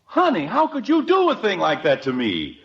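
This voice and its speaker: An actor playing a stubborn, self-important middle-aged American husband, indignant and sarcastic, in an old-time radio comedy. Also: male voice, sits high, wildly swooping pitch, normal speed, heavily acted. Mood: bewildered. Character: exasperated, stubborn suburban husband and father, self-important committee chairman. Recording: dull vintage radio recording.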